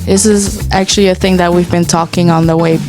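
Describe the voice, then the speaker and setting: A woman's voice: on the low side, steady tone, brisk. A woman from Indonesia speaking candidly in a casual street interview. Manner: candid, conversational. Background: music.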